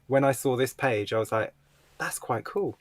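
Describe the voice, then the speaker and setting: A man's voice: medium pitch, normal speed, no echo. Man chatting casually, dry close sound. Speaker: podcast co-host. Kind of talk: casual book club discussion.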